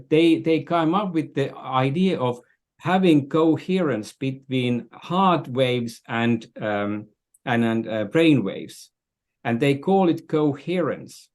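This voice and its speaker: An older man with a Finnish accent and native Finnish, speaking earnestly and reflectively as an interview guest, with halting phrasing. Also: mid-range voice, a little mellow. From Finland. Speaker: podcast guest.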